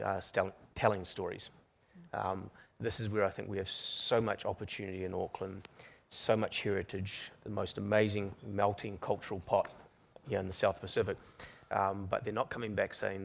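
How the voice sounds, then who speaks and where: A man's voice: sits low, gravelly. A man with a New Zealand accent, speaking candidly as a panelist at a business forum, with restarts. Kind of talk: panel discussion. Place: conference stage.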